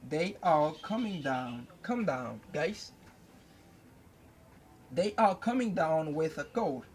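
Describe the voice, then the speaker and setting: A young man teaching an English lesson at a whiteboard, slowly repeating each phrase several times. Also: a little high, lively intonation, slight background noise. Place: indoors at a whiteboard. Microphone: camera close by.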